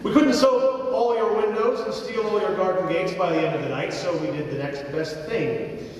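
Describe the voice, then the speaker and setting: A man's voice: high-pitched, normal speed, a little hiss or hum. A man announcing in a grand, declamatory, old-time radio style as the showmanlike host of a live play. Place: large theatre, live stage performance. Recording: echoey theatre from far, music and crowd.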